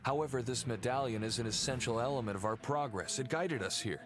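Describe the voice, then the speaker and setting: A male actor voices the mournful heir of a cursed family in a mystery game. His voice is mid-pitched. He explains earnestly and solemnly, with prominent music behind him. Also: brisk, lightly acted. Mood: earnest, determined. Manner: earnest, expository. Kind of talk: video game cutscene acting. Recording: loud music under the voice.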